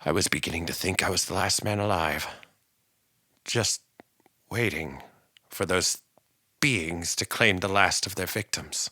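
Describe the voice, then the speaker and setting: A man playing a weary but resolute invasion survivor, speaking haltingly in a radio drama monologue. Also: deep voice, pushed and bright, heavily acted. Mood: reflective. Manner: halting, pausing, deliberate.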